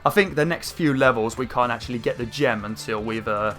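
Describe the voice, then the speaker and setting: A young man with a croaky throat, rambling through casual gameplay commentary with lots of fillers. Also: mid-range voice, a little fast. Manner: rambling, chatty, many fillers, excited outbursts. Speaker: gaming channel host. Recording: faint game music behind. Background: game music.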